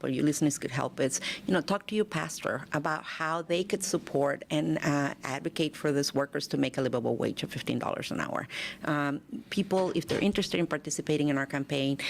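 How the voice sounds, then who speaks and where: A woman guest on a radio show, speaking in a measured, earnest way with frequent fillers. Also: sits low, creaky, a little hiss or hum. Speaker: union president, guest. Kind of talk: radio interview.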